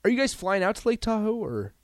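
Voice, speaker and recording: Male sports radio co-host, chatty and stammering, very expressive pitch. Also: fast, dry recording, clean studio sound. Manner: animated, chatty, stammering restarts. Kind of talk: casual sports radio chat.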